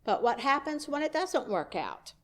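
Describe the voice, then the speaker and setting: A woman's voice: sits high, sing-song, normal speed. A woman minister giving a sermon in a church, telling a story in a conversational way with wry asides. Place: church sanctuary.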